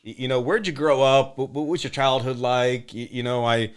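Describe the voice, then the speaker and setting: A man hosting a podcast, upbeat and relaxed, with many fillers and repeated words. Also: medium pitch, moderate pace, clear, resonant tone. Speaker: podcast host. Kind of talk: casual interview chat.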